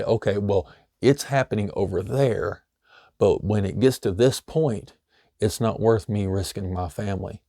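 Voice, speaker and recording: A middle-aged man, slightly hoarse, giving a loose, conversational how-to talk full of "you know" fillers. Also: lowish voice, darkish tone, no echo, clean studio sound. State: slightly hoarse, losing his voice. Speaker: homesteading channel host. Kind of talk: casual instructional talk.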